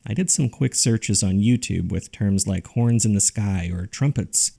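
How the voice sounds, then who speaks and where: A man playing an earnest paranormal podcast host, narrating in a serious, measured and deliberate way. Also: lowish voice, gravelly, dark tone, husky, full character voice. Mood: uneasy, intrigued. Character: earnest male paranormal podcast host reading a listener's ominous letter. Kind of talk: scripted narration, horror fiction podcast. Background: music.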